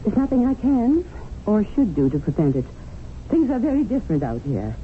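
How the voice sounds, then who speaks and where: Woman playing bossy practical english widow in colonial india, lively voice, tinny old radio drama. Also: medium pitch, normal speed, a little hiss or hum, close to an everyday voice. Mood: firm, resigned.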